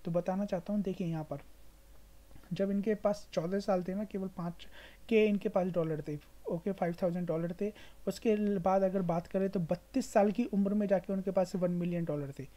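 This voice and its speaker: A woman's voice: mid-range voice, steady tone, moderate pace, dark tone. A woman with an Indian accent hosting an informal explainer, advising emphatically with repetition and mixing in English words. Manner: mixes English words into speech. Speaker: stock market video host. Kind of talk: informal explainer over screen share.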